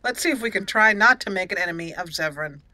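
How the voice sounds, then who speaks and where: A woman's voice: medium pitch, lively intonation, quick pace, pushed and bright. A woman hosting a roleplay let's play, chatting and reading game dialogue aloud into a close microphone. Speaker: gaming channel host.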